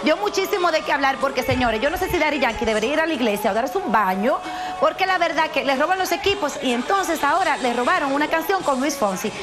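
A woman's voice: sits high, animated, fast talker. A woman in her thirties giving a chatty, playful live entertainment report from a city rooftop on a lapel mic. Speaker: entertainment correspondent. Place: outdoor rooftop set in a big city.

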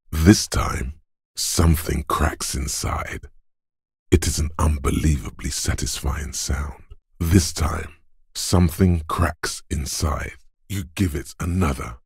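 An actor playing the gruff, domineering inner voice of physical strength in a video game, raspy and deliberate, narrating like a tough coach. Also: male voice, darkish tone, no echo, studio quality, heavily acted. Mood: grave, intense. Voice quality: very deep, gravelly, raspy. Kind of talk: scripted game narration, dramatic second-person.

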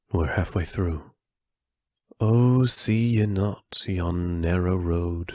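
Grave male mentor, played by a man, low and measured, close dry sound, unhurried old-ballad delivery. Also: no room sound, full character voice. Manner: measured, solemn. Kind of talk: audio play acting with verse recitation.

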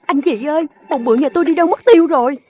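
A woman's voice: high voice, quick pace, darkish tone, close to an everyday voice. A woman voicing an anxious animal mother in a children's cartoon, fretful, pleading and exclamatory. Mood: panicked.

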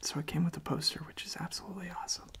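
Whispering young man, soft ASMR. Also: a little high, steady tone, very fast, creaky, slightly rough. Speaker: ASMR video host.

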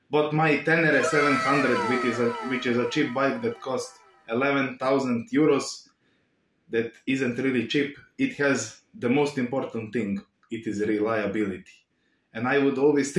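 A man's voice: medium pitch, reverberant, natural voice. A man doing a preachy Bulgarian moto vlogger. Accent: Bulgarian-tinged English. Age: young adult. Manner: earnest, slow, preachy, repeats catchphrases. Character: earnest male motorcycle vlogger with broken English. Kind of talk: parody vlog impression. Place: small room indoors.

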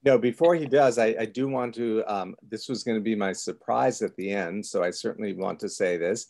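Older man, gravelly low voice. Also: normal speed, darkish tone. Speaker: host of virtual book launch. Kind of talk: informal event moderating. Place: book-lined home office, indoors. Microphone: video call.

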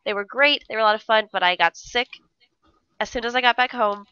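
A woman's voice: on the high side, brisk, pushed and bright, clear, resonant tone, no echo, highs cut off. A young woman co-hosting a casual live stream, upbeat and enthusiastic, sounding stuffy from a cold, on a headset mic. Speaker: co-host of a game dev stream.

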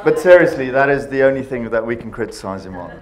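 A man's voice: mid-range voice, animated, a faint crowd. South African best man joking at a wedding. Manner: jokey, reading from notes, pausing for laughs. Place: large wood-panelled banquet hall, indoors. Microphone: handheld mic.

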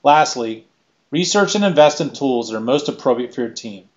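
A man's voice: mid-range voice, lively intonation, lo-fi sound. Man reading a scripted keynote. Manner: measured, list-like delivery. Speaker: keynote speaker. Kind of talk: scripted presentation.

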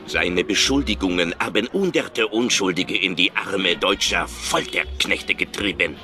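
An actor playing a solemn, measured old excommunicated priest in a video game, grave and quietly vengeful. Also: male voice, low-pitched, faint music behind, full character voice. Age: older. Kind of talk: scripted video game dialogue.

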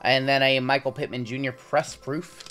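A man in his thirties with a sore throat and a slightly raspy voice, chatting casually through a pack opening. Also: mid-range voice, normal speed, background noise. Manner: relaxed, chatty running commentary with frequent "uh"s. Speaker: card-breaking channel host. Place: indoor home studio with logo backdrop.

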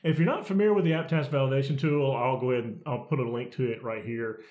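A man in his forties giving a casual how-to talk on camera from his home office, relaxed and conversational, often restarting his sentences. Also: medium pitch, fast. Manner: relaxed, conversational with frequent restarts and repeated words. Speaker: software consultant. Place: home office with bookshelves, indoors. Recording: roomy echoey small room.

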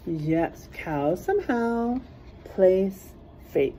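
A middle-aged woman reading a rhyming picture book aloud in a teacherly way, pausing to point out word pairs. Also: mid-range voice, expressive, dark tone, a little hiss or hum, rough recording. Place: small classroom, indoors.

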